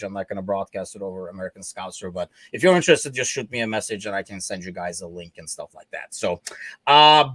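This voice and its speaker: A man's voice: mid-range voice, a bit bright, no echo. Chatty man hosting a podcast, dry sound. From Turkey. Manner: loose and chatty, many fillers. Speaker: podcast host. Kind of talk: casual podcast chat. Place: Chicago.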